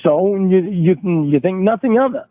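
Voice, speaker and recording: A man in a recorded phone argument, talking in a halting, defensive way and repeating words as he restarts. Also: high voice, lively intonation, no room sound. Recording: tinny phone line recording.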